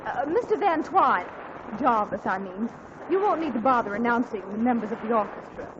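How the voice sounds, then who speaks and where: A woman's voice: fairly high pitch, expressive, a little fast, lightly acted. A woman playing a weary, genteel mother. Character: weary, well-mannered middle-aged mother. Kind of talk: 1940s radio comedy acting. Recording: muffled old radio broadcast with hiss.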